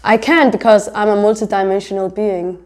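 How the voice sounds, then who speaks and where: A woman's voice: mid-range voice, steady tone, a little fast, darkish tone. A young woman from Denmark talking casually in an interview as a designer, explaining herself in a reflective way. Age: young adult. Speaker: interviewee, fashion designer and entrepreneur.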